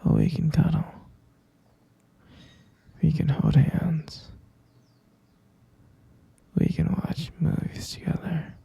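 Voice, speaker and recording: A man playing a tender but delusional, possessive captor in an intimate ASMR roleplay, coaxing in a breathy, gravelly whisper close to the microphone. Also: on the high side, fairly even intonation, mellow, dry recording, dull audio, heavily acted. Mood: soothing, possessive. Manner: coaxing, slow, cooing, insistent.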